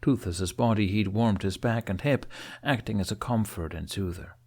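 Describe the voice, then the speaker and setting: Guy narrating a fantasy audiobook, lowish voice, expressive storytelling read. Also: normal speed.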